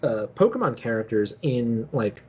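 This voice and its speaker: A man's voice: mid-range voice, very animated, normal speed, a little mellow, slightly rough. A man co-hosting a casual podcast discussion, talking in a rambling, informal way with lots of filler phrases. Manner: rambling, informal, hedging with "like" and "you know". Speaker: podcast co-host. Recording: dull, lo-fi audio.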